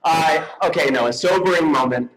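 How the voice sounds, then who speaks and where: A man's voice: fairly high pitch, moderate pace, fairly bright tone. A playful, showy man presenting an award live on stage and joking around at the microphone. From United States, California. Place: convention stage. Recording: noisy live event microphone.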